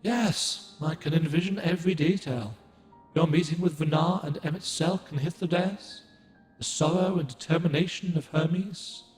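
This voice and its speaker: A man's voice: a little high, steady tone, faint music behind, close to an everyday voice. A man voicing a solemn echoing moon guardian. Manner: formal, archaic, gentle and measured. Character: solemn ancient male moon guardian spirit. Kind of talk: game cutscene dialogue.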